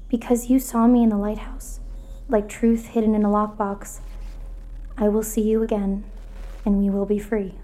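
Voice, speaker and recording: A woman's voice: medium pitch, level tone, normal speed, dark tone, lightly acted. A woman playing a solemn, oracle-like narrator who recites cryptic prophecy in a poetic, incantatory way for an audio drama.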